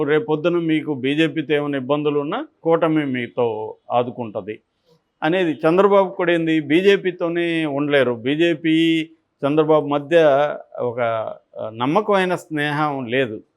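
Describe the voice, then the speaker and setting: Male analyst, somewhat high pitch, unscripted explanatory political commentary. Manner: explanatory, conversational.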